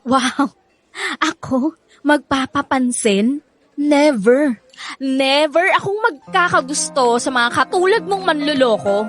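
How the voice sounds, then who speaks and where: A woman's voice: sits high, slow pace, a bit bright, soft background music. A woman acting a bitter, overprotective older sister in a Filipino radio drama, blunt and scolding, with a theatrical delivery. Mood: indignant, accusing.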